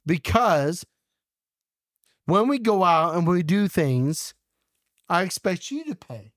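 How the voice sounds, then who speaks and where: A man's voice: high voice, animated, takes it slowly, a bit bright, no echo, studio quality. A man in his twenties hosting a podcast, rambling and restarting as he chats casually. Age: 20s. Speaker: podcast host. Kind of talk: casual chat.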